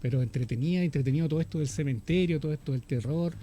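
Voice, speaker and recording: Fast-talking high-voiced Chilean man. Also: warm tone. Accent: Chilean Spanish. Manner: chatty, quick interjections. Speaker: radio host. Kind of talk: casual radio chat.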